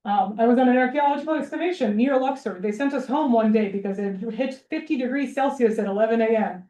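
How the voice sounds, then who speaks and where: A woman's voice: on the high side, quick pace, dark tone. A woman from Serbia, a researcher answering audience questions informally, with a wry, self-deprecating manner. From Belgrade, Serbia. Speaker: academic researcher giving a public talk. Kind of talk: informal Q&A after a public lecture. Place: university lecture hall. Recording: roomy lecture hall acoustics.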